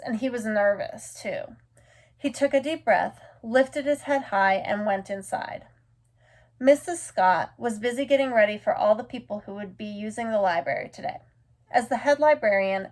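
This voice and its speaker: Higher-pitched woman reading a children's story. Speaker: read-aloud storyteller. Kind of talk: children's picture book read-aloud.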